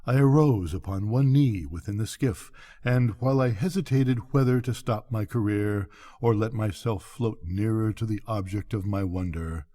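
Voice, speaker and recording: An older man reading a literary bedtime story aloud in measured, formal phrasing. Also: on the low side, warm tone. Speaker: story reader.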